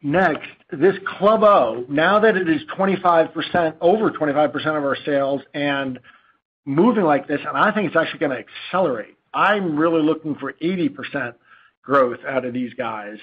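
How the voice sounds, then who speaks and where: A man with a fairly high voice talks informally as a chief executive on an earnings call. He is halting and often wanders off on tangents. The audio is dull and lo-fi. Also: breathy edge. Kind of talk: unscripted earnings call presentation.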